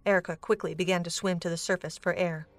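A woman's voice: on the low side, fairly even intonation, fast, no echo. A woman narrating a scripted dramatic story as the narrator of an audio drama. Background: music.

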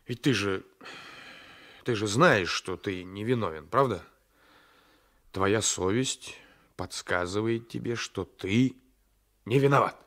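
A man's voice: lowish voice, takes it slowly, pauses often, a bit bright. An actor in a 1980s radio play as a domineering, sly gendarme, patronising and insinuating, often repeating his phrases. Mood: soothing, insinuating. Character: domineering, sly gendarme coaxing a weaker man. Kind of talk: Soviet-era 1980s radio play acting.

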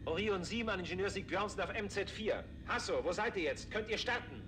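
A brusque starship commander snaps out orders at speed in a high-pitched voice. It is vintage sixties TV science fiction, with music behind the clipped, pressured delivery. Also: male voice, expressive, fairly quick. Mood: stern, sardonic. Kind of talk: 1960s German TV science fiction acting. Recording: 1960s TV soundtrack with loud music.

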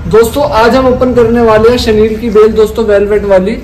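A man in his twenties with a North Indian accent gives an informal, repetitive sales pitch to camera, addressing viewers as friends. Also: little pitch movement, brisk, a little mellow. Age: 20s. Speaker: wholesale clothing seller and vlogger. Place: large indoor warehouse full of clothing bales. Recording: echoey room with clear background noise.

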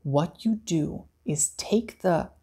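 Brief: a young man playing a frazzled fair official, exasperated and quick on the phone. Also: on the high side, lively intonation, dark tone, slightly rough, heavily acted.

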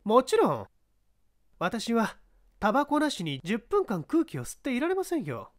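A man voicing a brazen young woman, a haughty and sharp-tongued scout, in a dramatised audiobook. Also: very high voice, wildly swooping pitch, normal speed, heavily acted. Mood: defiant.

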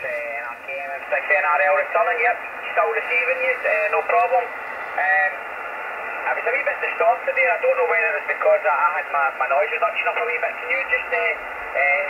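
A man's voice: a little high, huge pitch swings, a little fast, creaky. Man over a tinny, hissing radio link. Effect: two-way radio. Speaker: amateur radio operator. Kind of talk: casual two-way radio chat. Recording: tinny, very noisy radio transmission from speaker.

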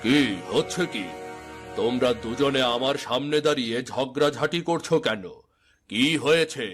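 A man's voice: medium pitch, unhurried, a bit bright, natural voice. A man voicing village men in a dramatic folk-tale cartoon, speaking. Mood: stern. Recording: music behind the dialogue.

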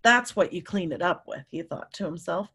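A woman in her fifties reading a story aloud to young children, with animated, drawn-out emphasis and questions to her listeners. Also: fairly low pitch, husky. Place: room at home.

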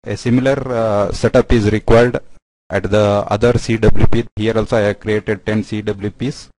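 Low, flat-voiced man giving a demo. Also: no echo, rough recording. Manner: halting, explanatory step-by-step delivery. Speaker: software product demonstrator. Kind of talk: screen-share product demo narration.

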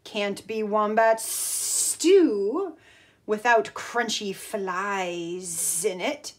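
A woman's voice: mid-range voice, drawn-out words. A woman voicing a scornful old male blue-tongue lizard in a picture book, speaking in a hissing way.

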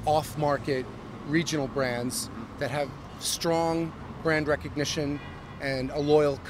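A middle-aged American man, a company CEO, giving a fluent corporate pitch with frequent ums and uhs in a street interview. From United States. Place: busy downtown street outdoors. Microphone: handheld mic held by interviewer. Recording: outdoor street with traffic noise. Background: city traffic.

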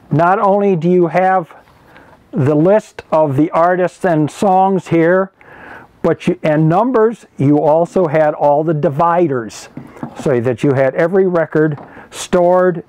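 Higher-pitched older man, halting explainer. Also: a little mellow, slight background noise. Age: 70s. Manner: halting, self-correcting, explanatory. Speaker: archivist presenting a collection. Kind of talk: informal show-and-tell explanation. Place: indoor archive room with packed shelves. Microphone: lapel mic.

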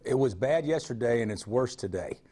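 A man's voice: mid-range voice, lively intonation, brisk, mellow, husky. A man in his forties, a college basketball coach, answering TV interview questions frankly, often restarting and repeating words. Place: studio remote booth.

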